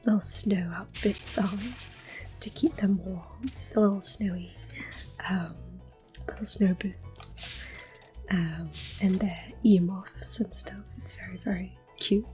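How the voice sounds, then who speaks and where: A woman whispering gently and unhurriedly in a soft, breathy voice, commentating a game in ASMR style up close to the microphone. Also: mid-range voice, fairly even intonation, soft background music, low-quality recording. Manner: gentle, unhurried, softly spoken. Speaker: ASMR gaming host.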